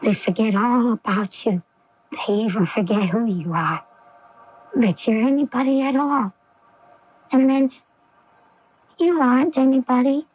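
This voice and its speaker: Fragile, haunted young daughter in 1980s radio play, high childlike voice, plaintive, dull AM sound. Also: female voice, a little slow, pauses often. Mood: despairing, haunted. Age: young adult. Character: fragile, troubled, ailing young daughter, fearful of abandonment. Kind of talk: 1980s radio drama acting. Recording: noisy, dull lo-fi AM radio recording.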